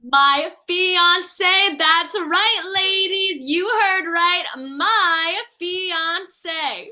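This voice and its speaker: Exuberant, teasing fiancée, read by an actress, very high and piercingly bright, taking her time. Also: extremely slow, full character voice. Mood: proud, boastful. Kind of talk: screenplay table read.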